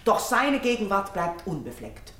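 A proud, solemn priestess in a classical radio play. She declaims verse with grave conviction at a middling pitch, in an echoing, roomy sound. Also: very animated, a little slow, fairly bright tone. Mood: firm defiance. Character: proud, principled priestess princess, solemn and defiant.

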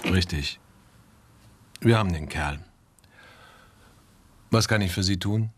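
An actor playing a dry, gruff, sardonic police sergeant in a radio drama. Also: male voice, low-pitched, takes it slowly, exaggerated voice. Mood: dry, guarded.

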